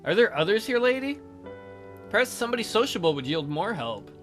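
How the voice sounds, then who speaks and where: High-pitched, animated male let's play host. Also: brisk, soft background music. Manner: theatrical, jokey. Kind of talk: dramatic read-aloud of visual novel with casual asides.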